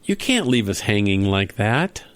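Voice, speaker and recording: Man playing a goofy, animated radio-comedy dad. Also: on the low side, unhurried, clean tone, no room sound, studio quality. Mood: protesting. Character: goofy, absent-minded dad in a bumbling family crew. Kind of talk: comedy radio play acting.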